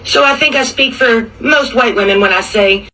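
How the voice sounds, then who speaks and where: Woman, bright high voice, aggrieved rant, set back from the mic with faint noise. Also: moderate pace, distant mic, rough recording. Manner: aggrieved, complaining. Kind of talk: complaining video rant.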